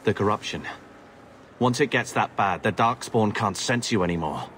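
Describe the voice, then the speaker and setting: An actor playing a grave, knowing warden warrior in a fantasy video game. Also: male voice, fairly low pitch, animated, moderate pace, a bit bright, a little hiss or hum. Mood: explaining, somber. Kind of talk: video game cutscene acting.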